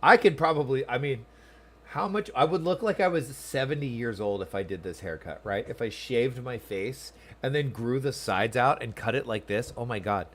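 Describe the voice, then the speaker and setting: An American man streams a video game from home, talking in a loose, rambling way. He pokes fun at himself and chats with his viewers in a medium-pitched voice. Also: normal speed. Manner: chatty, rambling, self-deprecating, reads chat aloud. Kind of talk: casual livestream chat over gameplay. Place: small room at home.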